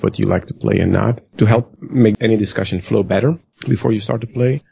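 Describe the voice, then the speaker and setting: A man's voice: on the low side, creaky, dark tone, breathy edge, muffled recording. A man with a West Virginian accent, chatting casually on a podcast and explaining how he taught a workshop step by step. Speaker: rope bondage instructor.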